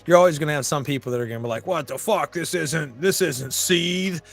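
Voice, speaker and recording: A man's voice: fairly high pitch, brisk, vocal fry. A man hosting a podcast in a casual chat, telling stories, swearing freely and acting out his own reactions. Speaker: podcast host.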